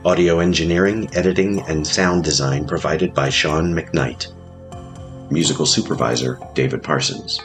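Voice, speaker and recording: A man's voice: rumbling low, normal speed. A man narrating a scripted horror audio drama in an ominous, literary manner. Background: music.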